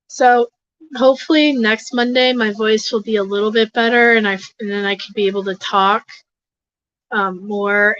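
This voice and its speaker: A hoarse, congested woman hosting a livestream, rambling casually with lots of fillers and restarts. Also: medium pitch, a bit bright, dry recording, highs cut off. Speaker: host of an advocacy livestream. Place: home desk.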